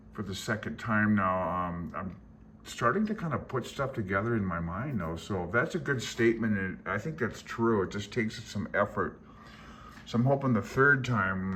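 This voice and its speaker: A low-pitched man rambles casually to his viewers in a folksy, self-deprecating way. He sounds like a hobbyist hosting his own small channel. There is noticeable background noise around his voice. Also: sharp tone. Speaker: hobbyist radio channel host. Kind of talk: casual rambling vlog talk.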